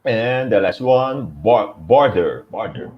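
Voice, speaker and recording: Slow Brazilian man reading haltingly. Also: lowish voice, animated, dull audio. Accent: Brazilian Portuguese. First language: Portuguese. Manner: hesitant, reads aloud haltingly. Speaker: student.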